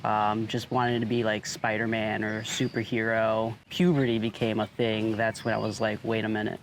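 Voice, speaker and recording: Man, 30s, candid interview, halting with fillers, flat delivery. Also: fairly low pitch, a little hiss or hum. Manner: hesitant, candid. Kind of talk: documentary interview.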